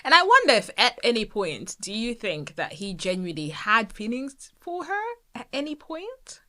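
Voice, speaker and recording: A woman's voice: on the high side, big pitch swings, normal speed, bright, forceful tone. A young woman co-hosting a podcast, reacting with exclamations and finishing her co-host's sentences in casual chat. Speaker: podcast co-host.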